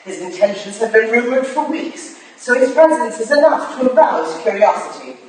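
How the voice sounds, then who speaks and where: A woman's voice: a little high, big pitch swings, moderate pace. A woman playing a commanding, analytical Victorian lady detective in a staged play reading, recorded in an echoey hall. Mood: reconstructing events. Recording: echoey hall from far off, faint noise.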